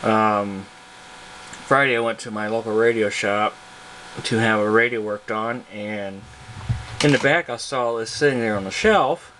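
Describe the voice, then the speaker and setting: A man casually showing off a piece of his collection on camera, speaking in a halting, plainspoken way. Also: fairly low pitch, rough recording. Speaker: hobbyist video presenter, radio collector. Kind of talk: casual product show-and-tell, unscripted. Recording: loud steady hum and hiss.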